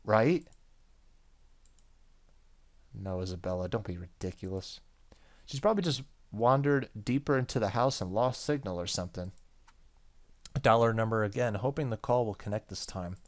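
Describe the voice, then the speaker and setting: A man with a fairly low voice hosts a let's play of a story game. He reads narration aloud and drops in casual, joking commentary as he goes. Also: lively intonation, moderate pace, long pauses. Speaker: gaming video host.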